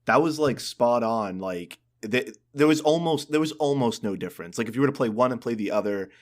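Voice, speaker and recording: A man's voice: mid-range voice, animated, brisk. A man co-hosting a podcast in a casual chat about video games. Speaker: podcast co-host.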